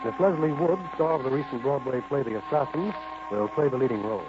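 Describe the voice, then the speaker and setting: A man's voice: mid-range voice, quick pace, close to an everyday voice. A man playing a sly, formal mystery playhouse host, announcing in 1940s radio style on an old lo-fi recording. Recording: dull, lo-fi old radio recording, music behind.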